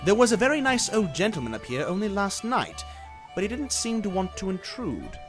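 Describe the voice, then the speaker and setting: A man voicing a lazy, bashful gentleman dragon in a dramatized storybook, rambling on in genteel, eager, old-fashioned talk. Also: high voice, huge pitch swings, brisk, music in the background, full character voice. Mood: eager, friendly. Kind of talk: dramatized storybook character acting.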